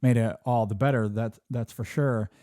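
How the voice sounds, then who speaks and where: A man's voice: medium pitch, steady tone, normal speed, mellow, no room sound, clean studio sound. A man from Michigan hosting a podcast in casual chat, telling a personal story earnestly, often repeating words as he goes. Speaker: podcast host.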